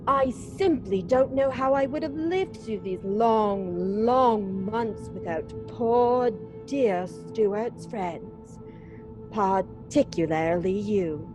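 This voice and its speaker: An actress playing a sweet, demure young widow and bride in old-time radio melodrama style. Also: female voice, mid-range voice, takes it slowly, music in the background, close to an everyday voice. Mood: soft, wistful.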